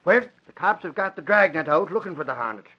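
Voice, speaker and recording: An actor playing an excitable, boastful, folksy sidekick in an old-time radio drama. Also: male voice, a little high, fast talker. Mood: excited, exasperated. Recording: dull old radio recording.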